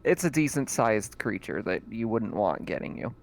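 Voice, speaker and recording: A man's voice: lowish voice, sing-song, slight background noise. A man running a tabletop horror game as game master, narrating casually with frequent laughs and filler sounds. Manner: laughs often, fills pauses with "uh" and "um".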